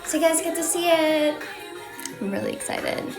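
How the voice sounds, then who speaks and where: A woman's voice: high-pitched, wildly swooping pitch, normal speed. A young woman from Sweden with a light Swedish accent, chatting casually on a livestream from her own room, answering comments with lots of "like" fillers. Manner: chatty, bubbly, many "like" fillers. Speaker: livestream host. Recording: roomy echo with faint music.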